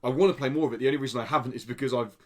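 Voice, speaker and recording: A man's voice: mid-range voice, very fast. A man co-hosting a podcast, chatting casually about video games in an informal way with some swearing. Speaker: podcast co-host.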